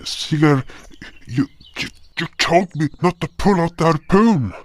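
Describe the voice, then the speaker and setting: A man's voice: fairly high pitch, expressive, a little slow. A man playing a remorseful furred frostborn creature, narrating his own fantasy tale in a solemn, dramatic manner. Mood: desperate. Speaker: narrator and voice actor. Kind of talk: first-person dramatic fantasy narration in character. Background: music.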